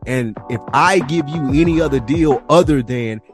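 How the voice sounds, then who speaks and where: A man's voice: mid-range voice, moderate pace. Emphatic man chatting over music. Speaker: podcast host. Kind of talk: casual chat.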